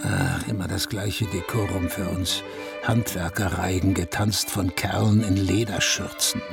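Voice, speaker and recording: An actor playing a weary, regal prince-elector in a German radio drama, musing in a stately way with a husky, gravelly voice. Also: male voice, deep voice, fairly even intonation, measured pace, music in the background. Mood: jaded, aloof. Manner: stately, musing, speaks in royal plural.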